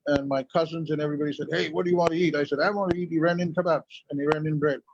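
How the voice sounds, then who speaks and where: A man's voice: on the high side, steady tone, very fast, creaky, no room sound. A man from India whose first language is Urdu, telling stories as a guest in a conversational interview. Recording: lo-fi, dull sound.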